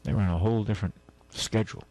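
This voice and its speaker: A man's voice: lowish voice, steady tone, quick pace, warm tone, rough recording. A man hosting a talk radio show, chatting in a rambling, casual way with asides and restarts. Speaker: radio host.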